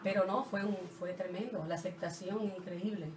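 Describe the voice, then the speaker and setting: A middle-aged woman from the Dominican Republic with a Dominican Spanish accent, a singer being interviewed, talking in an animated, halting way with many restarts. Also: mid-range voice. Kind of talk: casual interview talk. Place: small radio studio with curtain backdrop. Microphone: desk mic on stand, heard from a distance. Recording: roomy studio sound, mic off at a distance.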